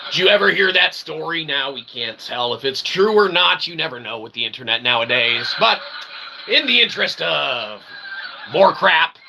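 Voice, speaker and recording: Creaky-voiced man rambling over background noise. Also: fairly high pitch, animated, normal speed, pushed and bright. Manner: mock-formal wordy phrasing mixed with crude swearing; punctuates statements with short tag questions. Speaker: solo streamer and channel host. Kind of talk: rambling comedic pseudo-philosophical monologue. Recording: clearly noisy, with ambient background sound.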